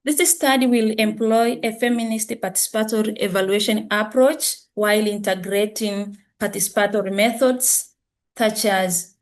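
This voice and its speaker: A woman reads through her project presentation over a video call. She speaks haltingly, stopping to fix words midway, in a mid-range voice. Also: mid-range voice. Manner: halting, with self-corrections.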